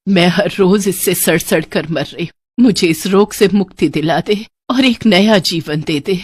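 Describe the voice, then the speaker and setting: A woman's voice: fairly low pitch, a little slow, husky, no echo. Lady doing a poor old granny in a fairy tale, plaintive and humble, dry close sound. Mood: pleading. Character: suffering elderly woman, pleading and grateful.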